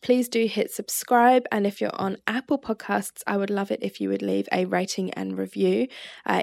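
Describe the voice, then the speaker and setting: An Australian woman hosts a podcast, speaking articulately and enthusiastically with some vocal fry. The recording is dry and close, with no room sound. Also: medium pitch, darkish tone, no room sound. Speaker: podcast host. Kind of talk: podcast intro and interview.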